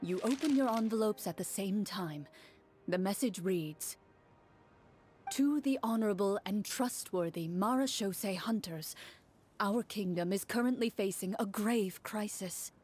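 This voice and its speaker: A woman playing a composed game master, narrating in a measured, solemn, formal way. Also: mid-range voice, darkish tone, breathy edge.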